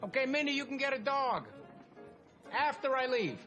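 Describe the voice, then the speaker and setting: A man's voice: very high-pitched, animated, normal speed. An actor playing a selfish, glib businessman who is facing prison, in a whiny, self-justifying manner for a comedy film. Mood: glib, dismissive. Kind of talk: live-action comedy film acting. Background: music.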